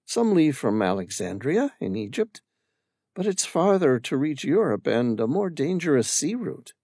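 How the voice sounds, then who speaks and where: A man's voice: fairly high pitch, sing-song, warm tone, breathy edge, dry recording, clean studio sound, pitched above the performer's own voice, full character voice. A man reading aloud, voicing a wary, determined woman stranded abroad who guards her secrets. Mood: cautious. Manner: wary, quick to shut down.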